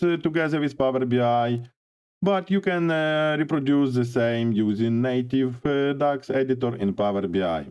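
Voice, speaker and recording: A man with a hoarse voice from a cold, explaining a data visualization tutorial step by step with frequent pauses. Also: mid-range voice, darkish tone. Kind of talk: screen-share software tutorial.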